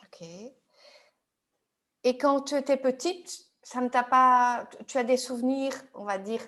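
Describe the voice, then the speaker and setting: A woman with a notably high voice leads a tapping therapy session. She asks calm, patient questions one after another, drawing out her client's fears. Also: unhurried. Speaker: EFT practitioner.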